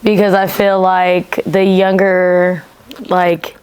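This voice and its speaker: A woman's voice: lowish voice, monotone, takes it slowly, a little mellow, clean tone, rough recording. A woman in her twenties on a podcast panel, opinionated and telling stories. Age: 20s. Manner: opinionated, storytelling. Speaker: panel guest. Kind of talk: casual panel discussion.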